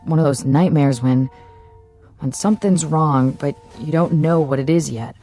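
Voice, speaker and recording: An actress playing a quiet, thoughtful assistant code breaker with an uneasy manner in a radio drama. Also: female voice, deep voice, moderate pace, soft background music.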